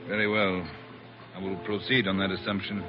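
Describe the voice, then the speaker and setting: An actor playing a stout, courteous but commanding villainous boss in a 1940s radio drama. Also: male voice, sits low, little pitch movement, a little fast, close to an everyday voice. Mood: cold, commanding. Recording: muffled vintage radio recording with music.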